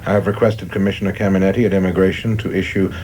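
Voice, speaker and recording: An actor in a radio play playing a young, zealous FBI chief, formal, clipped and officious. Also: male voice, low voice, level tone, quick pace, darkish tone, a little hiss or hum, close to an everyday voice. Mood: resolute. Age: young adult.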